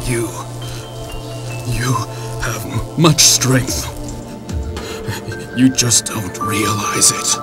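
An actor playing a dying robot hero in a video game, a noble mentor speaking weakly and with labored effort. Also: male voice, medium pitch, fairly even intonation, drawn-out words, pauses often, music in the background. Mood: weakly encouraging. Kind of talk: dramatic video game voice acting.

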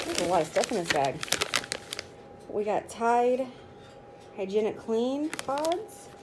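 A woman in her thirties casually chatting through her grocery haul, naming each item as she unpacks it. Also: on the high side. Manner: chatty, itemizing each product. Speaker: vlogger. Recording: noticeable background noise. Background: rustling bags and crinkling packaging.

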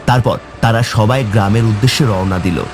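A man's voice: fairly low pitch, a little fast, music in the background. A man narrating a scripted horror story for a cartoon.

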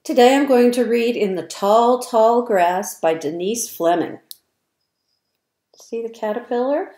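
Female children's read-aloud voice, fifties, fairly high, deliberate emphasis, roomy webcam recording. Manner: slow, deliberate, expressive word emphasis. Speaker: early childhood educator reading aloud. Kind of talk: picture-book read-aloud for young children. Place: indoor room at home, by windows. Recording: roomy, echoey webcam sound.

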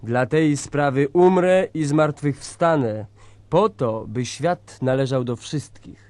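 An actor playing a defiant revolutionary idealist with a grave, deliberate dramatic delivery. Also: male voice, mid-range voice, a little mellow, no room sound, lightly acted.

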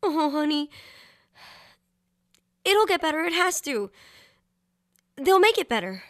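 A hopeful young wife and mother, acted by a woman, high-pitched and closely recorded. She sounds kind and reassuring, a touch hesitant. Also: sing-song, takes it slowly, fairly bright tone, no room sound, studio quality, full character voice. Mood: consoling. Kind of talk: radio drama acting.

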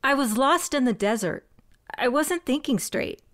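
An actress voicing a quiet, stubborn young woman who dabbles in magic, in an audio drama, speaking plainly and earnestly. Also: high-pitched, expressive, a little slow, dry recording, clean studio sound. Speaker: shop heir and cousin.

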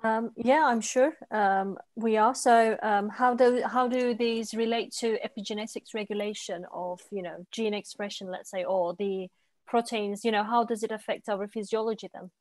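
A woman hosting a live science Q&A over a video call from a bright room at home, friendly and hesitant with fillers. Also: medium pitch, fairly even intonation, normal speed, dry recording. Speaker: interviewer host.

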